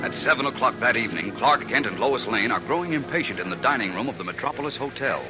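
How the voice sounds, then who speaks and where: Animated male radio serial narrator. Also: mid-range voice, fast, poor audio. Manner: dramatic, suspenseful. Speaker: narrator and announcer. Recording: vintage radio recording. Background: music.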